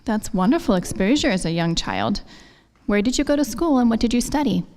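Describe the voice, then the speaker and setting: A woman's voice: a little high, lively intonation, fairly quick, a little mellow. A woman hosting a scripted interview show, asking polite, formal questions, recorded in an echoey hall. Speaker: show host.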